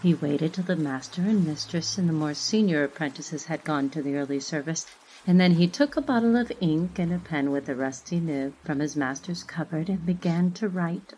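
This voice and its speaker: A woman reading literary fiction as a narrator, in an expressive, measured storytelling manner. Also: sits low, poor audio.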